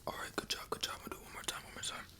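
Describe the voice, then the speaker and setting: A man speaks entirely in a breathy whisper, playing a medical examiner in an ASMR roleplay. He gives simple instructions one step at a time and repeats short, soft reassurances as he goes. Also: racing.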